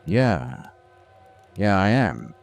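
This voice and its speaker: Low, expressive hard-boiled investigator. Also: male voice, very slow, creaky, faint music behind, full character voice. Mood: subdued, guarded. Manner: terse, wry, moody. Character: hard-boiled, brooding male insurance investigator narrating his case. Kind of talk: old-time radio noir acting and narration.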